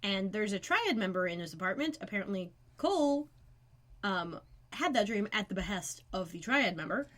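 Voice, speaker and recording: A woman's voice: mid-range voice, very animated, normal speed. Woman podcast co-host, wry and chatty, given to rambling asides. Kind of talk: casual recap chat.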